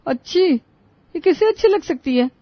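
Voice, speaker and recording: A woman's voice: extremely high pitch, lively intonation, measured pace, dry recording, highs cut off. A woman voicing a blunt, exasperated complainer in a comic family story, dramatic in manner. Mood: appalled.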